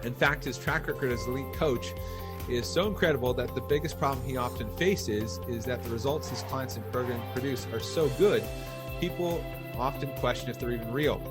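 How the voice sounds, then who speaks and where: A man's voice: mid-range voice, fairly quick, over loud music. A man hosting a business podcast, reading a scripted intro in an upbeat promotional way, then chatting with his guest. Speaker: podcast host.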